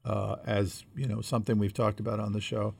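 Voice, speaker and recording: A man co-hosting a conversational podcast, explaining things in a measured way as he thinks aloud. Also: on the low side, little pitch movement, vocal fry, darkish tone, breathy edge. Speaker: podcast co-host.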